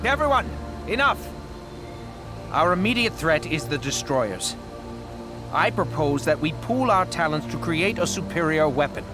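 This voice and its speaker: An actor playing an urgent, brainy small fantasy creature in a video game, emphatic and formal. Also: male voice, on the high side, big pitch swings, measured pace, pauses often. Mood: urgent, commanding. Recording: game cinematic with music behind.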